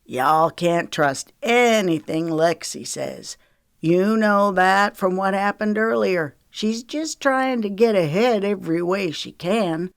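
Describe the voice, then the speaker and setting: A smug, menacing man in an audiobook, played by a woman narrator. He drawls slowly and sounds sure of himself, brushing everyone off. The recording is dry and close. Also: mid-range voice, creaky, no room sound, pitched above the performer's own voice. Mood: smug, dismissive. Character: smug, menacing predatory man with Southern folksy talk.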